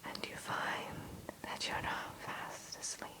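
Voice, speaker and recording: A woman narrating a guided ASMR story in a soothing whisper, slowly, with deliberate pauses. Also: sharp tone. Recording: faint background hiss.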